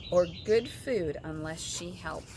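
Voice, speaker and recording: A woman's voice: fairly low pitch, takes it slowly. A woman narrating a children's story expressively as a storybook reader. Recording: clear background noise with birdsong.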